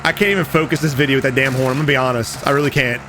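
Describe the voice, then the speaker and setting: A man casually commenting over game footage, animated and profane, talking straight to his viewers, his voice going a little hoarse. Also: medium pitch, rapid-fire, background noise. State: losing his voice. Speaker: gaming commentator.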